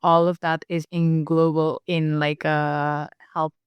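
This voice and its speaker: A woman's voice: sits low, takes it slowly, smooth, no room sound, muffled recording. A young woman from Indonesia in her twenties, a nutritionist chatting casually as a guest and laughing easily. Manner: relaxed, laughs easily, uses lots of "like". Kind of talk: casual interview chat.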